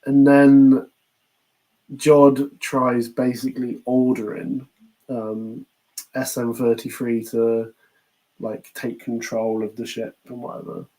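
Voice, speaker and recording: A man's voice: mid-range voice, level tone, slow, warm tone, rough recording. A man with a British accent co-hosting a podcast, casually recapping a series and acting out bits of dialogue as he goes. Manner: chatty, acts out quoted lines in passing. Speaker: podcast co-host.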